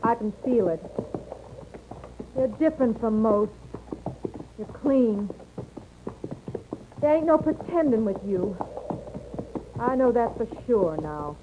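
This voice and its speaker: A woman's voice: on the high side, animated, takes it slowly, pauses often. A woman playing a frightened, earnest rural frontier wife with country speech in a 1950s radio western. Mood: scared, earnest. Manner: plain rustic country speech. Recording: muffled, noisy 1950s radio recording.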